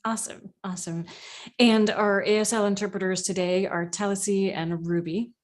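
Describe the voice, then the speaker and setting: A woman's voice: medium pitch, steady tone, moderate pace. A woman moderating a panel, casual and a bit stumbling as she gives housekeeping notes. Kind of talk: hosting a panel.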